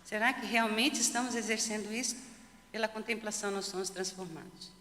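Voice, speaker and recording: A woman's voice: medium pitch, fairly quick, a bit bright. A woman teaching a Sabbath school class, reading a verse and asking a series of searching rhetorical questions. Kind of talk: Bible study discussion. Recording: echoey church hall with long reverb, faint noise.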